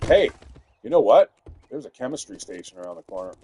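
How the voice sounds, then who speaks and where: A man giving loud, rambling, profanity-filled commentary while playing a video game. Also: medium pitch, sing-song, brisk, slight background noise. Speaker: gaming streamer. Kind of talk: casual gameplay commentary.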